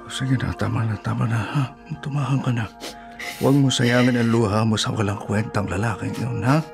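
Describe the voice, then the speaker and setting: An actor playing a gentle, devout older father who soothes and advises his grown daughter in a radio drama. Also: mid-range voice, steady tone, moderate pace, creaky, lightly acted. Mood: concerned, firm. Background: music.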